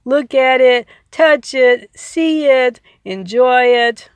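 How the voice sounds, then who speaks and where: A woman's voice: sits high, takes it slowly, clean tone, no echo. A woman reading a book aloud in an emphatic, instructive way, repeating key phrases and adding informal asides. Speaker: audiobook reader.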